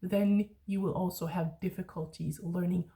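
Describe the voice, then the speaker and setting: A Danish woman who tutors Danish, talking casually to learners, chatty and reflective, often pausing to search for words. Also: fairly low pitch, fairly even intonation, warm tone. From Denmark. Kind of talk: casual online lesson talk.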